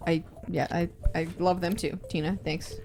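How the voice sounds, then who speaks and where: A woman's voice: fairly low pitch, little pitch movement, normal speed, vocal fry, loud music behind the voice. Woman playing a casual tabletop game. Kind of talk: casual improvised role-play.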